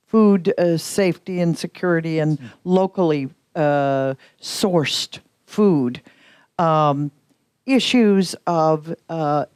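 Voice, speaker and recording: Lower-pitched older American woman professor. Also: darkish tone, dry recording, studio quality. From United States. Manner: thoughtful, searching for words. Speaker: professor on a panel. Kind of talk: panel discussion. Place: conference hall.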